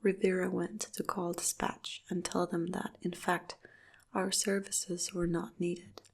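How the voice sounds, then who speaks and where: Slow, flat whispering woman narrator. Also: fairly low pitch, vocal fry, husky. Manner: soft, hushed whisper throughout. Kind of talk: whispered horror story narration.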